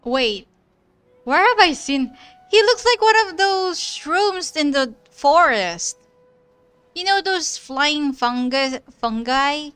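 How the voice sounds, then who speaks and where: A woman's voice: high voice, expressive, slow pace, fairly bright tone, soft background music. A woman doing casual live commentary as she plays a video game, exclaiming and teasing at what she sees on screen. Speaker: streamer reacting to a video game.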